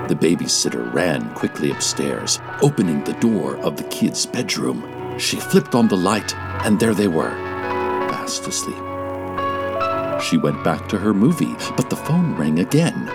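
Slow, theatrical spooky radio host. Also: male voice, low-pitched, big pitch swings, gravelly, loud music behind the voice, full character voice. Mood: hushed, suspenseful. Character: spooky radio show host, chatty, theatrical, naively unbothered.

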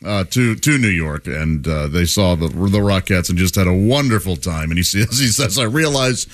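A man's voice: deep voice, expressive, moderate pace. A man hosting a casual radio chat show, reading listener mail with a chuckle. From Saint Louis.

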